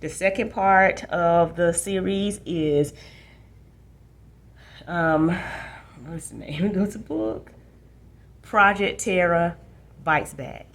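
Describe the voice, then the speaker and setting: Female library host, voice a touch on the low side, casual book talk and read-aloud for young readers. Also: slow pace, long pauses.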